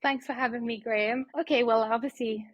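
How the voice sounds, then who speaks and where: A woman's voice: a little high, steady tone, fairly quick, no room sound, dull audio. South African woman in her 30s, chatty interview guest, loose and conversational, dry close sound. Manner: chatty, many fillers and restarts. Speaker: guest doctor. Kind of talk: casual interview talk.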